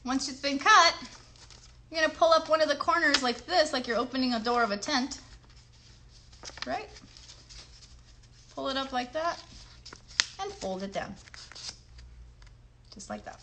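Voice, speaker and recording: A woman's voice: sits high, lively intonation, measured pace, long pauses, noisy background. A woman hosting a children's craft show, giving simple step-by-step directions in an encouraging way and putting questions to the young viewers.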